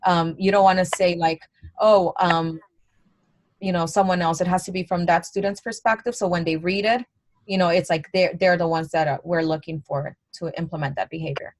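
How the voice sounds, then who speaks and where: A woman's voice: lowish voice, steady tone, moderate pace. A young adult woman giving a college lecture in a conversational way, with many fillers and restarts.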